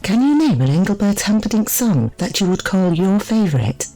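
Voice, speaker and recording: A woman interviewer reading out scripted questions in a measured way. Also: medium pitch, very animated, mellow, soft background music, rough recording.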